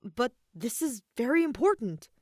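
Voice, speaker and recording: Woman voicing an eager, lively young male adventurer. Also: on the high side, normal speed, no room sound, clean studio sound, voice pushed up. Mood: cheerful, eager.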